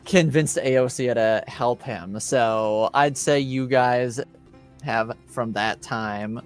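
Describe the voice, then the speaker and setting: A male game master with a medium-pitched voice recaps a tabletop game session. He talks off the cuff in a halting way, searching for words, while music plays clearly behind him. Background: background music.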